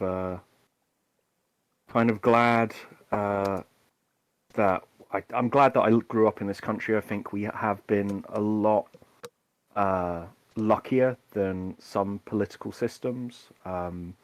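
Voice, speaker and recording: A man's voice: on the low side, fairly even intonation, takes it slowly, pauses often, no room sound, rough recording. A British man co-hosting a podcast, speaking unhurriedly with many ums and uhs. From United Kingdom. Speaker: podcast co-host. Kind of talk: casual chat.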